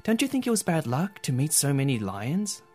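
A man's voice: a little high, very animated, normal speed, dark tone, breathy edge, faint music behind, voice pushed up. A man doing an anxious young boy. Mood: plaintive. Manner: anxious, pleading. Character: frightened, hungry young boy lost at night.

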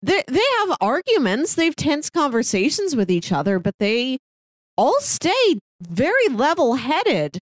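Lively, expressive woman in casual film talk. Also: sits high, measured pace, no room sound, studio quality. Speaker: podcast co-host reviewing a film.

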